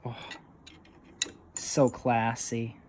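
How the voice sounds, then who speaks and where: A man's voice: medium pitch, completely flat delivery, extremely slow, background noise. A man giving casual, unscripted show-and-tell commentary in a dry, wry manner, like a hobbyist vlogger. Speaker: vlogger showing old radio repairs.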